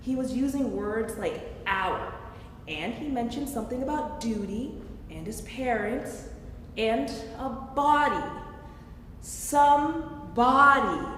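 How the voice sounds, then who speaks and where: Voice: medium pitch, a bit bright. A young woman reading the part of an anxious, quippy, affectionate man in a staged play. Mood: suspicious. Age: young adult. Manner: dramatic, quippy. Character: Wes, anxious, sarcastic man cooped up at home, fond of his partner. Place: staged reading in a large echoey room. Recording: echoey hall, far mic, faint noise.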